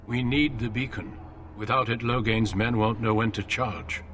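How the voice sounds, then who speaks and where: An actor playing a grave, dutiful older warrior mentor in a fantasy video game, measured and authoritative. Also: male voice, medium pitch, fairly even intonation, fairly bright tone, natural voice. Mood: grave, firm. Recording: clear background noise.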